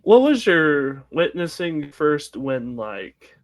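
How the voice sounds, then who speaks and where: A man's voice: on the high side, sing-song, takes it slowly. A man hosting an interview, asking short, direct questions. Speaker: interviewer, podcast host.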